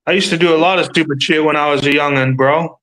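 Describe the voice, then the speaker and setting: A man's voice: a little high, steady tone, fast, fairly bright tone, low-quality recording. A man in his thirties hosting a livestream panel, rambling in casual chat and swearing freely.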